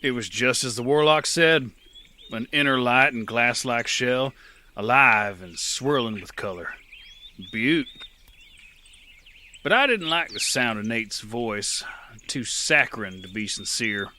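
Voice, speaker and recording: A man's voice: mid-range voice, lively intonation, takes it slowly, pushed and bright, slight background noise, heavily acted. A man narrating and playing a rugged, weary gunslinger in an audio drama, with a dry, terse delivery. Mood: steady. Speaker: narrator and lead.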